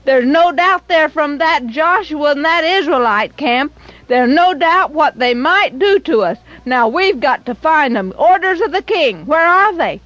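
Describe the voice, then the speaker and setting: A woman voicing demanding male guard soldiers who bark their questions loudly. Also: sits high, expressive, measured pace, no room sound, pitched above the performer's own voice. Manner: loud, barking orders. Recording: dull lo-fi recording.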